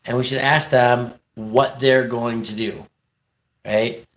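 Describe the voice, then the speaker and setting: Slow, halting American man livestreaming. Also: medium pitch, steady tone, a bit bright, a little hiss or hum. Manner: hesitant, pausing, halting. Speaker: livestream host, activist. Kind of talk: rambling casual livestream talk.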